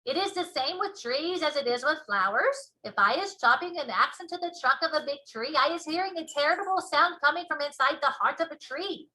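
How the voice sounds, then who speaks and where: Casting: woman to play an emphatic, proud storybook giant, easily offended, forceful tone, quaint broken grammar and nonsense words. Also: high-pitched, lively intonation, brisk, few pauses, voice pushed up, low-quality recording. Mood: earnest. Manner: folksy muddled grammar with whimsical invented words.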